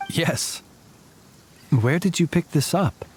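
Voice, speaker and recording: A man's voice: on the high side, slow pace, a little mellow, a little hiss or hum. An actor playing a weary, courtly young man who speaks in formal, archaic and elaborately polite phrases, in video game cutscene dialogue.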